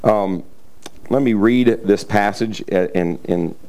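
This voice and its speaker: A middle-aged man preaching a sermon, a little raspy, talking in a loose conversational way with frequent fillers and throat clearing. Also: lowish voice, takes it slowly, a little mellow. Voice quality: slightly raspy from cheering. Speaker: pastor. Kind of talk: sermon with scripture reading.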